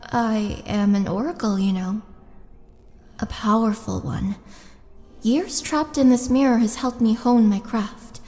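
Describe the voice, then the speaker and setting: Casting: actress as tender, lonely orc woman for roleplay audio, soft and coaxing, higher-pitched, mellow, breathy. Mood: confident, coaxing. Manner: slow, coaxing, intimate, halting. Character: tall muscular orc woman oracle, lonely, tender, seductive. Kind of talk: intimate roleplay audio drama. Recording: long reverb, music behind.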